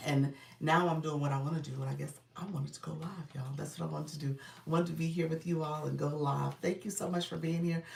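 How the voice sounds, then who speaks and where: A woman's voice: sits low, fairly even intonation, fast, vocal fry, hardly any pauses, darkish tone, recorded from a distance. A middle-aged woman with a congested voice, chatting casually on a live stream, greeting viewers by name in an upbeat way. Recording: roomy sound, from off the mic.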